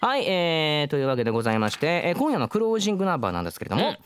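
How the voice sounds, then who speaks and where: A man's voice: fairly high pitch, big pitch swings, very fast. A man in his thirties co-hosting a radio show, moving between casual chat and announcements. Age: 30s.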